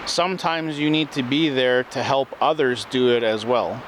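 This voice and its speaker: Man, mid pitch, earnest self-help talker, slow repetitive delivery, recorded outdoors with faint traffic behind. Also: fairly even intonation. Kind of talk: spoken self-help talk.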